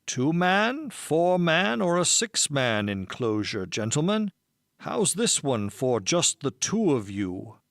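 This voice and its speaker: A man's voice: fairly high pitch, sing-song, takes it slowly, fairly bright tone, no room sound, studio quality, pitched below the performer's own voice, exaggerated voice. A man voicing a courteous, formal subway conductor in an audiobook. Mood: courteous, official. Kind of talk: audiobook character acting.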